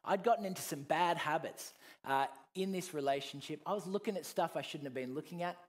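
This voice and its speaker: Earnest man preaching his testimony. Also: a little fast. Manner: earnest, swings from loud emphasis to soft, low reflection. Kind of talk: personal testimony sermon.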